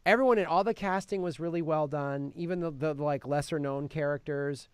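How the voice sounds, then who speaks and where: A man hosting a casual film review chat as a comedian, telling things in an animated way with mimicked quotes. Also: a little high, fairly quick, smooth, no room sound. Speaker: podcast host and film reviewer; touring stand-up comedian.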